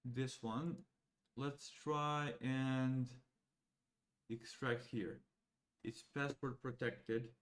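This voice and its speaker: Young Serbian-born man, native Serbian speaker, walking viewers through code while thinking aloud in halting steps, mid-range voice. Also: mid-range voice, steady tone. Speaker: AI educator. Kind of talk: live coding walkthrough.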